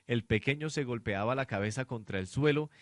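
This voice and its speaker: A man's voice: mid-range voice, brisk. A man reading dramatic scripted narration for a radio novel. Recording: clear background noise, amateur student production.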